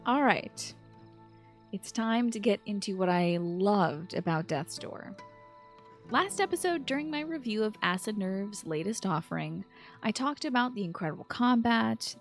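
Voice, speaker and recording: A woman hosting a video game podcast, speaking in an informal, enthusiastic way that mixes chat with scripted commentary. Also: medium pitch. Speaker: podcast host.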